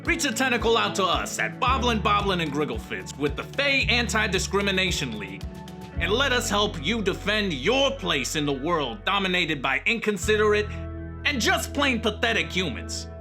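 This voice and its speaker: An actor playing an aggrieved fey creature pitching legal help in a theatrical parody radio advert. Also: male voice, very animated, normal speed, over music. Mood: indignant salesmanship. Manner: theatrical, salesman-like.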